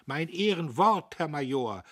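A man's voice: a little high, sing-song, unhurried, a bit bright, no room sound, clean studio sound, voice pushed up, full character voice. A man voicing an anxious, fawning man who speaks with forced cheer and stammers. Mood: pleading. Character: anxious fawning middle-aged man, nervous.

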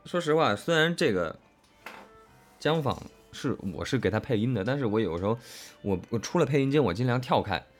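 A man's voice: mid-range voice, animated, fairly quick, faint music behind. A man co-hosting a casual chat about an audio drama as one of its voice actors, effusive and rambling, repeating himself for emphasis. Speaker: voice actor co-hosting a fan talk.

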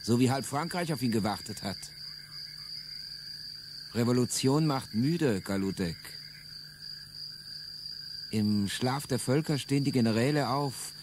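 Radio play: a man as a cynical officer, mid-pitched voice, cool irony, clear insect chirps behind. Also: background noise, lightly acted. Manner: cool, ironic, mocking delivery. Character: cynical, world-weary male French officer. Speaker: radio drama actor.

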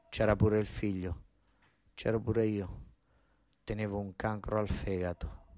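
An actor playing a desperate working-class southern Italian son and ex-soldier, in a colloquial, emphatic theatrical monologue with a southern Italian accent. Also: male voice, fairly low pitch, a little mellow, close to an everyday voice. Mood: subdued, sorrowful.

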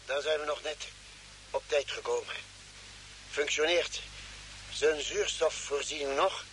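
An actor playing a cautious, concerned expedition doctor in a vintage radio drama, speaking in an insistent, cautioning way. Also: male voice, fairly high pitch, unhurried, sharp tone, poor audio. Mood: concerned, careful. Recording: hissy old radio recording.

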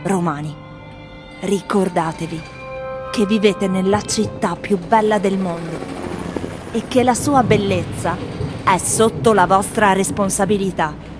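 An actress playing foreign tourists who address a city in a theatrical radio recitation. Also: female voice, mid-range voice, measured pace, close to an everyday voice. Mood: solemn, measured. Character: foreign tourists addressing blessings and curses to Rome. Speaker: performer in a radio theatre piece. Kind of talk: theatrical recitation of collected testimonies. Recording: voice over a music bed.

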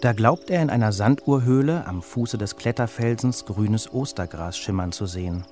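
A man reading a children's story as its narrator, with an expressive storytelling delivery. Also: on the low side, moderate pace, faint music behind.

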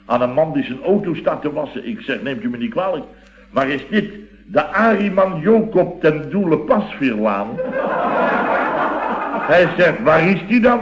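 A man's voice: fairly high pitch, animated, a little slow. An actor playing a chatty, gushing, teasing boss of a building firm in a radio comedy, effusive and theatrical, repeating his words. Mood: comic storytelling. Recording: dull, muffled vintage radio recording.

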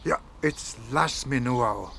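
An actor in a radio drama playing a folksy, eager small-town police inspector. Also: male voice, medium pitch, slow, gravelly, pushed and bright, a little hiss or hum, exaggerated voice. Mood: matter-of-fact.

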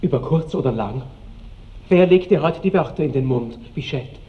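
A man's voice: on the high side, animated, moderate pace, natural voice. An actor playing an ardent, tender seventeen-year-old nobleman pleading with his lover in a classic radio drama. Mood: wounded. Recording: dull, faintly hissy old radio recording.

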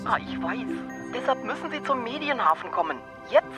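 A woman's voice: a little high, sing-song, fairly quick, over music. An actress playing a discreet, powerful woman client in a radio drama, commanding and theatrically polite. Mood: urging. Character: discreet, imperious high-ranking woman client summoning the detective.

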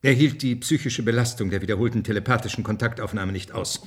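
An actor in a German radio play, playing a brash, hot-tempered official who talks with sarcasm and contempt in a husky voice. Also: male voice, mid-range voice, fast talker, close to an everyday voice. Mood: defensive. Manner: sarcastic, blustering, coarse.

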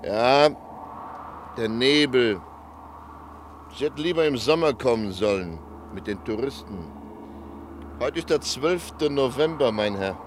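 An actor in a radio play as a reserved, cryptic local man, formal and measured in short phrases. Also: mid-range voice, animated, natural voice. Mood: wistful, formal. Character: reserved, cryptic male townsman, formal and ominous. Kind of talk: German radio play acting. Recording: outdoor street ambience noise.

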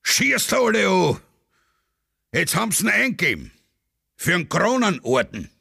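Ein Mann spielt einen prahlenden österreichischen Offizier, hoch. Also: male voice, high-pitched, very animated, moderate pace, vocal fry, bright, forceful tone, breathy edge, dry recording, studio quality, pitched above the performer's own voice, exaggerated voice. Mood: jovial boasting. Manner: chatty, bragging, dialect-heavy. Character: boastful Austrian army officer, gossiping.

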